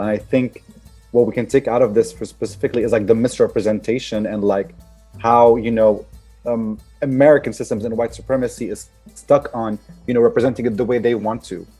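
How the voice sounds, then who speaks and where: A man's voice: mid-range voice, mellow, breathy edge. A man moderating a panel discussion, passing questions to the speakers. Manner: casual, introduces the next speaker.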